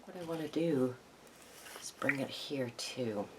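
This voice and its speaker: A hoarse woman with a froggy voice hosting a casual crafting tutorial, chatting and thinking aloud with ums as she works. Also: very deep voice, measured pace, background noise. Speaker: craft video host.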